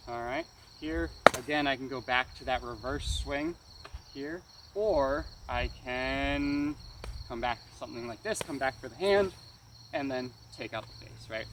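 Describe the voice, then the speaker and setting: A man giving a casual, hands-on martial arts lesson as an instructor, often checking understanding and punctuating moves with exclaimed sound words. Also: mid-range voice. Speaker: karate weapons instructor. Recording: distant mic, noisy outdoor ambience with insects.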